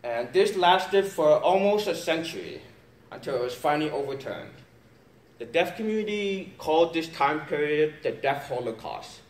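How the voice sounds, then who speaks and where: A man's voice: a little high, lively intonation, normal speed. Young man presenting in an echoey room. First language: American Sign Language. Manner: casual, hesitant, many fillers. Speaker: student presenter. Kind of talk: informal class presentation. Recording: echoey room, mic far off.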